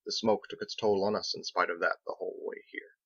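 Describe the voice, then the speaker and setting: Captain, a man voicing a low, gravelly seafarer. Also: flat delivery, a little fast, fairly bright tone, dull audio. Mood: grave, indignant. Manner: formal, old-fashioned nautical phrasing. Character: Captain, measured, thoughtful seafaring man, wary and principled. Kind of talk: audiobook character dialogue.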